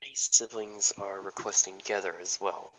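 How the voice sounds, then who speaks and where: A man's voice: sits low, steady tone, normal speed, bright, forceful tone, a little hiss or hum, rough recording. A man acting a grave, bitter school headmaster in a hobby table read. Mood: grave, subdued.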